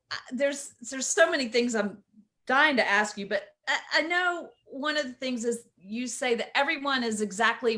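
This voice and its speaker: Bubbly bright-voiced woman host. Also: medium pitch. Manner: bubbly, laughing often. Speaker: interview host, coach. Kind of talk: casual interview talk.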